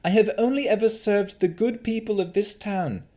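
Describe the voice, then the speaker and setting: Upright woman deputy, firm and earnest, pitched high, voiced by a male storyteller. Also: normal speed, mellow, close to an everyday voice. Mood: defiant.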